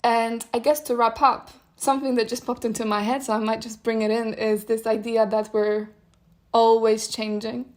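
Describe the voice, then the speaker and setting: A young woman whose native language is Polish talks through her thoughts on a solo podcast. Her voice sits a little high but stays fairly level in tone, and she muses in a meandering way, with soft laughs between ideas. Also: little pitch movement, normal speed. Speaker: podcast host. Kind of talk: solo reflective podcast monologue.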